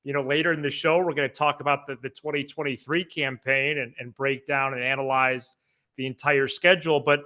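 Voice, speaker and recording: A man co-hosting a sports podcast in casual chat, halting and repeating words as he goes. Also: mid-range voice, steady tone, sharp tone.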